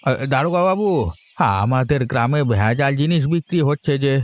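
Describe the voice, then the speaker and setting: A man's voice: mid-range voice, fairly quick, no echo, natural voice. A man playing a concerned, principled older village uncle in a Bengali cartoon, speaking in an earnest, rustic, familiar way. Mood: earnest, indignant. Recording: music behind the voice.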